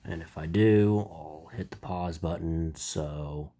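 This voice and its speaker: A man hosting his own channel, speaking with a stuffy, slightly nasal voice in a slow, reflective spiritual monologue. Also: very deep voice, warm tone. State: stuffy, congested. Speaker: channel host.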